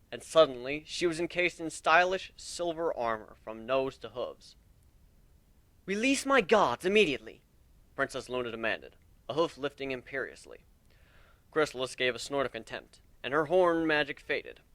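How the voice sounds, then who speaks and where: A man's voice: medium pitch, a bit bright, dry recording. A man narrating a fan fiction story in a scripted, dramatic reading style.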